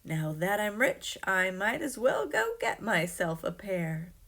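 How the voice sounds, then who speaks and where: A woman's voice: mid-range voice, big pitch swings, takes it slowly, fairly bright tone, exaggerated voice. A woman voicing a young, honest boy in a children's storybook, with an earnest delivery. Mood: pleased.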